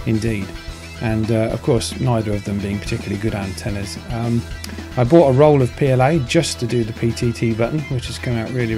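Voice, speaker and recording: A man with a fairly low voice gives a casual, understated how-to narration as a hobby maker. Plucked guitar music plays clearly behind him. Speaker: maker presenting his hobby build.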